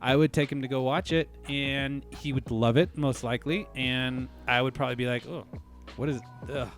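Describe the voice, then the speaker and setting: A man co-hosting a casual podcast chat, thinking out loud and hedging his theories. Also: mid-range voice, steady tone, normal speed, soft background music. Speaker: podcast co-host.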